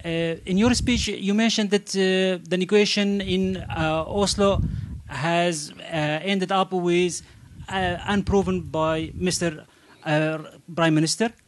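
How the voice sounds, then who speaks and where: A man asking a panel questions, halting often with "uh" as he searches for words. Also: high-pitched, fairly even intonation, a little hiss or hum. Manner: halting, many "uh" pauses. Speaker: audience questioner.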